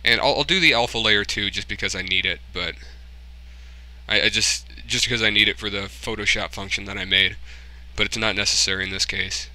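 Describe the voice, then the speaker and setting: A man giving a casual screen-recorded software tutorial, informally thinking aloud and muttering to himself as he works. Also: lowish voice, fairly even intonation, a little fast, low-quality recording. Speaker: tutorial host, hobbyist game developer.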